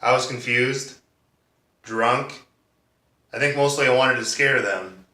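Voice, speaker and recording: A man playing a soft-spoken, bitter defendant giving measured, restrained testimony in a courtroom monologue. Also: medium pitch. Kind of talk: monologue performance of a courtroom drama. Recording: roomy echo, distant microphone.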